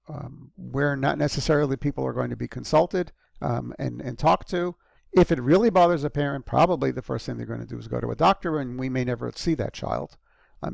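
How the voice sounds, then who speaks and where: A male lecturer speaks to his students in a loose, conversational way, pausing on ums and correcting himself as he goes. His voice has a strong gravelly fry. The recording is close and completely dry. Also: mid-range voice, darkish tone, no echo. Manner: conversational, frequent ums and restarts. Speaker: university lecturer.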